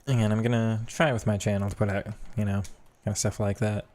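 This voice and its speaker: A hoarse young man with a very deep voice talks through a football video game in loose, casual commentary. He muses on tactics and mutters quick reactions to each pass and touch. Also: flat delivery, a little mellow. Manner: thinking aloud, reacting to play. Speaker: gaming streamer.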